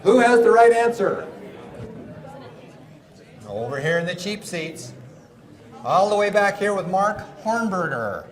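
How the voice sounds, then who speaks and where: A man presenting a live seminar, joking with the audience and calling up volunteers in an energetic way. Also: high-pitched, measured pace, long pauses. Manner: energetic, joking, drives the crowd. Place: large hall with live audience. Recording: echoey hall with crowd noise.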